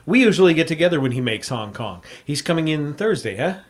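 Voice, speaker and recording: A man's voice: fairly high pitch, big pitch swings, quick pace. An actor playing a hard-boiled, sly American freelance newspaperman, with a clipped, wry delivery in an old-time radio drama. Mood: casual, probing. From United States, San Francisco. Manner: clipped, wry, world-weary storytelling. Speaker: lead actor and narrator. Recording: vintage radio broadcast.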